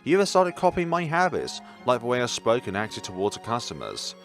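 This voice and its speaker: A man voicing a gentle, proud mother who reminisces wistfully about her son in a visual novel. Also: mid-range voice, wildly swooping pitch, brisk, soft background music. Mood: nostalgic.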